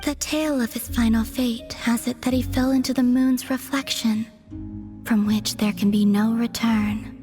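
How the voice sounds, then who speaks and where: A woman voicing a calm, detached and mysterious young woman who speaks softly and formally. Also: fairly high pitch, normal speed, music in the background, lightly acted. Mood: serene. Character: serene, mysterious young woman, a former Fatui agent. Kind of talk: game cutscene voice acting.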